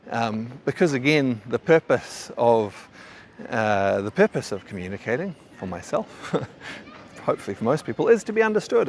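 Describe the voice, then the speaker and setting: Man, mild New Zealand accent, qigong teacher, rambling casual talk, stammered restarts, faint noise behind. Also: mid-range voice. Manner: thoughtful, rambling, frequent stammered restarts and fillers.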